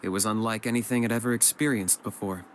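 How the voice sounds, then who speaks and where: A man playing a calm, serious young warrior in a video game, measured and matter-of-fact. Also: lowish voice, slight background noise, natural voice. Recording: music behind the voice.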